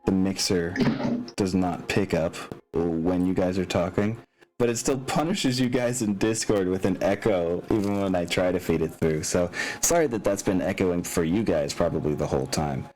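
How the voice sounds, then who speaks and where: A man's voice: deep voice, normal speed, darkish tone, poor audio. A man speaking casually as game master of a tabletop game, drawing out phrases for emphasis. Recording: music behind the voice. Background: background music.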